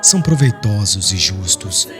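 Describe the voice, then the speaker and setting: A man's voice: deep voice, sing-song, measured pace. A man with a Brazilian accent reads literary narration solemnly, as an audiobook narrator, over music. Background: soft music.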